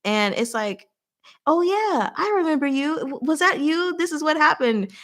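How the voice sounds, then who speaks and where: A woman hosting a casual live book discussion, retelling the plot in an animated, giggly, informal way with acted-out asides. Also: high voice, normal speed. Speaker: host of a livestream book discussion. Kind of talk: casual chatty live story recap with commentary.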